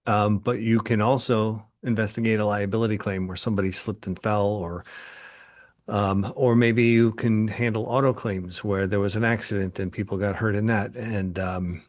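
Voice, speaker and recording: Male podcast host, lowish voice, relaxed chat, stops and starts with fillers. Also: moderate pace. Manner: hesitant, with many ums and uhs.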